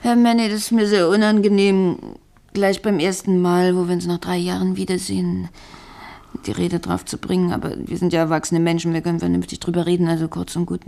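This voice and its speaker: A lonely estranged wife in a radio drama, bitter and plaintive. Her voice is on the low side and noticeably creaky, with an emotional, reproachful delivery. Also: level tone, a little fast, warm tone. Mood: strained, coaxing. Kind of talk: German radio drama acting.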